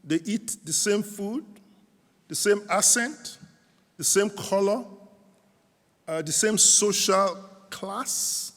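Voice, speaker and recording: Nigerian-accented middle-aged man, high, unhurried. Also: a bit bright. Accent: Nigerian with some London. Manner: many fillers, repeats, quotes scripture. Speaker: Baptist minister speaking at a conference. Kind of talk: conference talk. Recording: reverberant hall.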